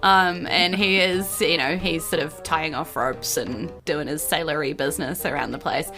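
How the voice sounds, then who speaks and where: A woman's voice: low-pitched, normal speed. A woman, narrating a tabletop game as game master in a casual, chatty, giggly way. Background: faint background music.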